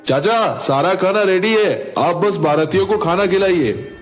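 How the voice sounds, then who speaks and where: A man voicing a calm, reassuring young village cook in a cartoon, polite and assured. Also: sits high, a little slow. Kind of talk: Hindi cartoon story dialogue. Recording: background music under voice.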